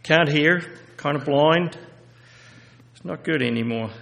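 A man giving a sermon and reading scripture aloud, halting with many fillers and restarts, then growing louder and more emphatic as he reads. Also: a little high, creaky. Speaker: lay preacher. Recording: echoey hall reverb.

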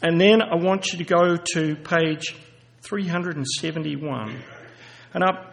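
A man giving a sermon and reading scripture aloud, halting with many fillers and restarts, then growing louder and more emphatic as he reads. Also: a little high, creaky, a little hiss or hum. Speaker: lay preacher. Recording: echoey hall reverb.

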